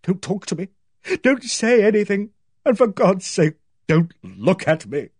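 A hearty, emotional woman from a detective novel, acted by a male narrator. The voice is lifted high and driven hard, with emphatic, exclamatory phrasing and big swings of pitch. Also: big pitch swings, normal speed, darkish tone, slightly rough, no room sound, studio quality. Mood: anguished. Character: hearty, booming, defiant woman who breaks into anguish. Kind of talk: audiobook character voice.

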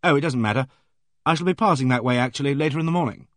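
A man's voice: mid-range voice, very fast, no room sound, close to an everyday voice. A man voicing a tired, worried doctor who speaks vaguely and hesitantly. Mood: weary.

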